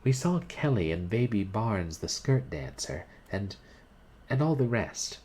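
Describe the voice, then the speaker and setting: A man's voice: fairly low pitch, sing-song, measured pace, darkish tone, slight background noise, voice pushed up. A man voicing a chatty young woman who works as an artist's model. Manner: bubbly, gossipy chatter.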